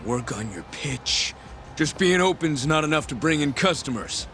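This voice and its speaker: An actor playing an aggressive, foul-mouthed yakuza thug in a video game, with a snarling, threatening manner. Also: male voice, on the high side, expressive, normal speed, fairly bright tone, a little hiss or hum. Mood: stern, scolding.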